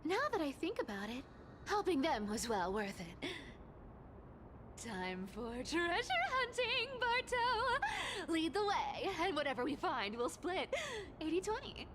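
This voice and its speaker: High-voiced, sly trickster boss lady. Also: big pitch swings, measured pace, fairly bright tone, a little hiss or hum, heavily acted. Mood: delighted, greedy. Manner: theatrical, commanding, teasing. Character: sly, haughty, greedy female trickster demigod boss. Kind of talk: animated video game voice acting. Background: game music.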